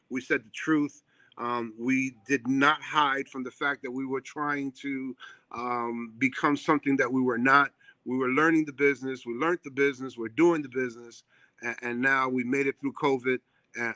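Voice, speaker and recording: A man's voice: medium pitch, sharp tone, no echo. A middle-aged man from Puerto Rico, raised in New York, with a New York accent, talking earnestly over a remote call as a theater owner and chef, full of ums and restarts. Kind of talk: casual interview chat. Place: theater seat on a live call.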